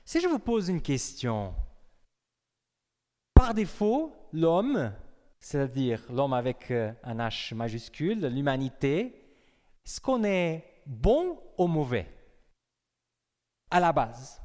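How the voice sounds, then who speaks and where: A man's voice: fairly high pitch, sing-song, takes it slowly, pauses often, a little mellow. A young man preaching a sermon in a conversational way, asking his congregation questions. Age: young adult. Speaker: pastor. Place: church hall. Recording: long reverb of a hall.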